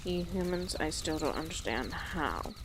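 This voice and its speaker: Woman playing a slow-talking, low-voiced female orc traveler. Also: lively intonation. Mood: matter-of-fact, friendly. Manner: unhurried, hesitant, dry-humored, matter-of-fact. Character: female orc traveler, small, wry, gruff but caring. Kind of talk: immersive audio roleplay addressed to the listener. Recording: clear outdoor nature ambience noise.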